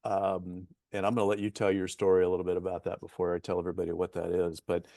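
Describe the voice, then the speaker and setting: A man's voice: low voice, completely flat delivery, dark tone, dry recording, clean studio sound. A man from Oklahoma hosting an interview show, introducing his guest with enthusiasm and lots of fillers. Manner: enthusiastic, halting, many fillers.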